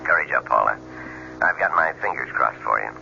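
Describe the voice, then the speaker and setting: Very deep, gravelly kindly boss. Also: male voice, expressive, brisk, sharp tone, a little hiss or hum, exaggerated voice. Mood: kindly, concerned. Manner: reassuring, informal. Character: kindly, easygoing boss of a small business. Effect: telephone voice. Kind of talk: 1970s radio drama acting. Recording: buzzy telephone line in dull radio recording.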